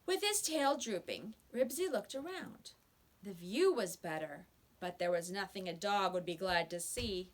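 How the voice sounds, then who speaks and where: A woman reading a children's story aloud with expressive, scripted narration. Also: medium pitch.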